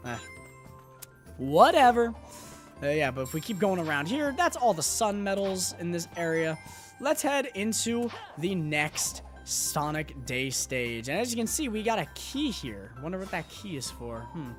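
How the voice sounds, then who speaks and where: Man streaming a game, voice going hoarse. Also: sits high, big pitch swings, a little slow. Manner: rambling and upbeat, with frequent fillers and restarts. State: losing his voice. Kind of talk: live gameplay commentary. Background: loud game music.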